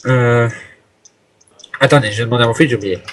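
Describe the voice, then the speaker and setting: A man's voice: medium pitch, fast talker. A man making an improvised phone call as a hotel guest, speaking in a hesitant, rambling way. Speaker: prank caller posing as a hotel guest. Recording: noisy phone call with clicks.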